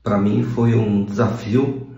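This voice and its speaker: A man's voice: lowish voice, steady tone, takes it slowly, creaky, warm tone, a little hiss or hum. A Brazilian man, a video editor, giving a casual testimonial. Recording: echoey room, dull lo-fi sound.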